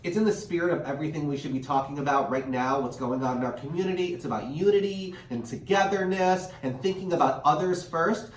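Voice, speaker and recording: A man teaching through a virtual read-aloud, chatting encouragingly and expressively with children and parents as he presents a picture book. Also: on the high side. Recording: roomy, distant mic with faint noise.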